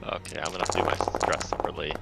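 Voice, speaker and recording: A man taking part in a tabletop roleplaying game, casually describing what his character will try to do. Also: rapid-fire. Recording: music playing behind the voice.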